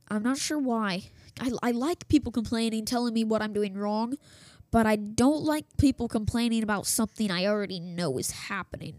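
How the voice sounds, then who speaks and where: A woman's voice: medium pitch, a little mellow, no echo. A woman hosting a small radio news show from her home office, chatting casually with lots of fillers and restarts while she is losing her voice. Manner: chatty, self-interrupting, many fillers and restarts. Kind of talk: casual rambling radio chat. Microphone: desktop setup with small soundboard.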